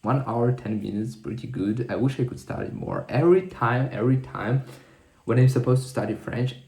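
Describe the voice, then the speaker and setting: Gravelly low-voiced man in an echoey room. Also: lively intonation, normal speed, darkish tone. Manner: rambling, self-correcting, thinking aloud. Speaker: vlogger. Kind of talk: casual diary-style monologue.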